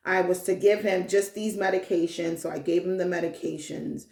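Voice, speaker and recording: Earnest woman with a gravelly voice. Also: fairly low pitch, steady tone, moderate pace, a little mellow, reverberant. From Haitian. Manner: earnest, emphatic, often asks "right?". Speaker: guest, vegan caterer and chef. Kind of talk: casual interview storytelling.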